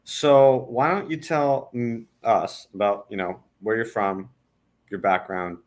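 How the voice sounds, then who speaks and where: A man with a low voice hosts a relaxed interview. He keeps his turns short, prompting the guest and murmuring along as he listens. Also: slow. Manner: brief prompts and murmured acknowledgments. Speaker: interview host. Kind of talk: casual conversational interview.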